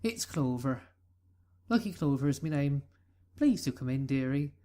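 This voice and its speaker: A woman voicing a gentle middle-aged mother pony who calls people "dearie" in a fan audio reading. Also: rumbling low, animated, moderate pace. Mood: doting.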